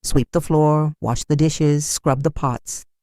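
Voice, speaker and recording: A narrator reading a scripted dramatic story in a measured storytelling manner. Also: medium pitch, steady tone, a little mellow, no room sound, studio quality.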